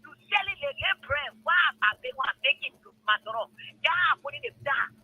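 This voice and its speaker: A woman's voice: high-pitched, big pitch swings, a little fast, phone-quality sound. A middle-aged woman with a Liberian accent, a phone-in caller complaining informally and passionately, repeating phrases for emphasis.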